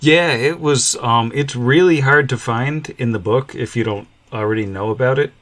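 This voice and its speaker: A man's voice: medium pitch, normal speed, a bit bright. A man chatting casually as a podcast game master, explaining things in an easygoing way. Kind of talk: casual chat.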